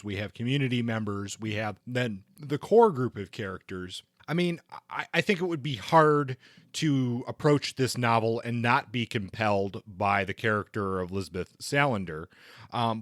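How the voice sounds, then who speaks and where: A man's voice: mid-range voice, no echo. Male podcast co-host, mid-range voice, very dry recording, talking books in an exploratory, conversational way. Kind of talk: conversational book discussion.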